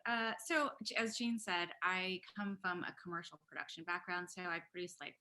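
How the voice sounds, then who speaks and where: Woman, mid-range voice, documentary filmmaker, casual and candid, animated storytelling with quoted asides. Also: mid-range voice.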